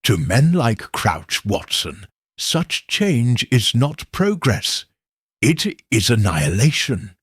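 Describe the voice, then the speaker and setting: Cool, exacting detective, read by a man, measured and certain, husky, unhurried, animated delivery. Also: mid-range voice, no echo, studio quality, full character voice. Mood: explaining. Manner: measured, coldly certain, deliberate. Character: cool, exacting male detective, middle-aged. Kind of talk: audiobook character reading.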